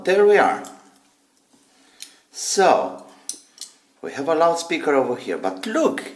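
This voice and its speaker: A man hosting a gadget teardown, giving a casual, unscripted demonstration and thinking aloud in halting, short phrases. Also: lowish voice. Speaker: host of a gadget teardown video.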